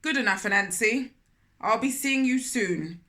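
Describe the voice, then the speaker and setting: A woman's voice: a little high, a little slow, sharp tone. A woman voicing a friendly hippo from a children's storybook, bellowing and emphatic in a hospitable way. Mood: hearty, inviting. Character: friendly, hearty hippo, bellowing lunch invitations.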